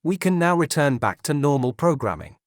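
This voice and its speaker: A man playing a grave emergency broadcast announcer, reading a scripted bulletin in a formal, measured way. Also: a little high, big pitch swings, dry recording, clean studio sound.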